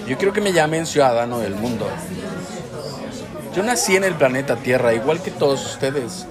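A man's voice: medium pitch, lively intonation, normal speed. A man with a Mexican accent in opinionated, casual discussion, speaking in an earnest, emphatic way and often correcting himself. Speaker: co-host of a discussion show. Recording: music and crowd noise behind, indoor public space. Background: music and chatter.

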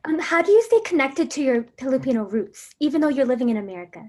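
A woman interviewer with a fairly high voice asks questions in relaxed conversation. She listens attentively and encourages the speaker. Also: fast talker. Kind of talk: casual conversation.

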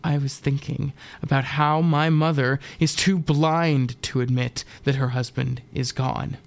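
A man's voice: mid-range voice, steady tone, unhurried, creaky. Man playing a bitter, defiant teenage girl. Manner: defiant, sarcastic. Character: strong-willed, bitter teenage sister.

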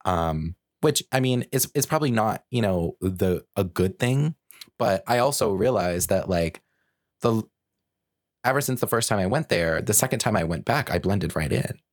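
Guy with a really low voice, podcast host chatting, thinks out loud in asides, super dry close sound. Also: animated, normal speed, dry recording. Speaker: podcast host and design researcher. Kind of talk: casual conversational chat.

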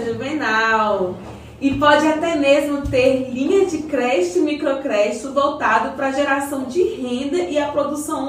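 A woman playing an encouraging, well-informed community guide in a scripted radio soap, explaining things didactically. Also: high voice. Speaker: character explaining community projects. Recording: very echoey, distant mic, faint noise.

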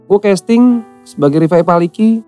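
A man's voice: high voice, moderate pace, dark tone, faint music behind. A young man from Central Java telling his story in casual slangy talk and acting out the voices he quotes. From Central Java, Indonesia. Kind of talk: casual podcast chat, storytelling.

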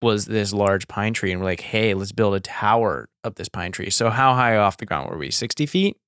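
A man's voice: on the low side, normal speed, a bit bright, clear, resonant tone, no echo. Young guy rambling thru a story, laughs a lot, says like constantly, dead dry mic. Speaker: podcast co-host. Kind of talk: casual storytelling chat.

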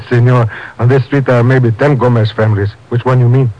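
A man's voice: medium pitch, steady tone, normal speed, highs cut off, close to an everyday voice. An actor playing a stubborn, hot-tempered Mexican man in a 1950s radio drama. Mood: wary, amused. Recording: old 1950s radio broadcast recording.

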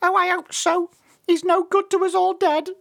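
A storyteller voicing a tiny, cheeky, excitable osprey chick with a small squeaky voice. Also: moderate pace, vocal fry, full character voice. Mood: annoyed.

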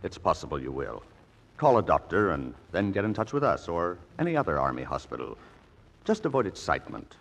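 An actor playing a reassuring army doctor in 1940s radio style, encouraging and authoritative. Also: male voice, low-pitched, sing-song, fairly quick, vocal fry, a bit bright, breathy edge, heavily acted.

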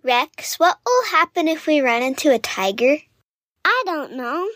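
A woman's voice: sits high, very animated, a little slow, fairly bright tone, no echo, clean studio sound, pitched above the performer's own voice, full character voice. A woman voicing an eager, childlike young animal cub in a children's story. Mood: uneasy. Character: excitable young wild animal cubs.

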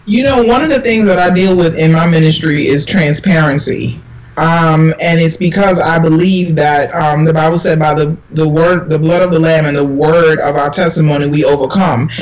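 A woman's voice: deep voice, steady tone, moderate pace, a crowd in the background, low-quality recording. A woman minister from Jamaica speaking in an earnest, sermon-like way on a panel talk, quoting scripture. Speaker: minister, guest. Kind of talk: conversational panel talk with preaching.

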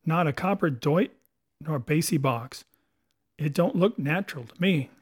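A man's voice: on the high side, unhurried, slightly rough. A man doing a bold, blunt pirate. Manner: blunt sailor dialect.

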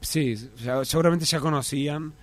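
A Uruguayan man telling anecdotes in a relaxed, hesitant way in casual studio chat. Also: fairly high pitch, moderate pace, a loud crowd. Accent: Uruguayan Spanish. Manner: relaxed storytelling, hesitant with restarts. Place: radio studio. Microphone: studio microphone.

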